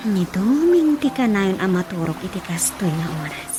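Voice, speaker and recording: A woman's voice: mid-range voice, big pitch swings, normal speed, lightly acted. A woman playing an easygoing daughter in an Ilocano radio drama comedy, speaking with an Ilocano accent. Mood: musing, quiet. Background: music.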